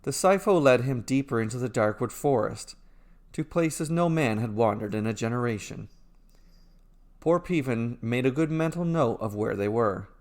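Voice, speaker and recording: A man narrating a scripted fairy tale as a storyteller, in a measured, deliberate way. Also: medium pitch, lively intonation, a little mellow. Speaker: storyteller narrating his own fairy tales.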